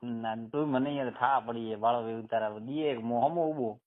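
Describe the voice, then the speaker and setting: A man's voice: medium pitch, normal speed. A man, chatting casually and prodding with short questions. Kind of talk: casual phone chat. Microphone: phone line. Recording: narrow, tinny phone call recording.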